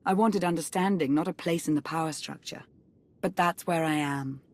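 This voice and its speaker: A woman acting a proud, dutiful Sith spymaster in a video game cutscene. Her voice sits fairly low for a woman, and she speaks in a measured, formal, earnest way, composed even when she blames herself. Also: close to an everyday voice. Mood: regretful resolve. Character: composed, dutiful Sith intelligence chief, proud but self-reproachful woman.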